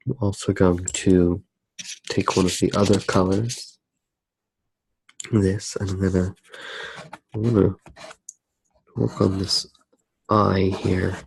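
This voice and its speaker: A soft-spoken man narrating a drawing step by step in a hushed, unhurried ASMR style. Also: deep voice, level tone, vocal fry, slight background noise, rough recording.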